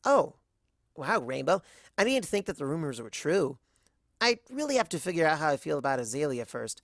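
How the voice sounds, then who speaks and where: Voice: medium pitch, sing-song, normal speed, creaky, no room sound, clean studio sound. A narrator voicing an anxious, brainy young female pony who talks in wordy, awkward bursts. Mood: flustered.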